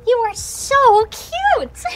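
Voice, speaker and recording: A woman's voice: extremely high pitch, very animated, drawn-out words, fairly bright tone, slight background noise. A young woman presenting an educational children's show outdoors at a farm, in an exaggerated, sing-song, exclamatory way. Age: young adult. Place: outdoors at a farm with animal pens.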